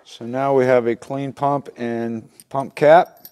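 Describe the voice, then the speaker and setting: A man's voice: medium pitch, little pitch movement, slow pace, a little mellow, clean tone. A middle-aged man talks casually through a repair step by step, in a plain-spoken, folksy way as he works. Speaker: instructor in a how-to repair video. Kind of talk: casual hands-on demonstration talk. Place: small wooden workshop at a workbench, indoors.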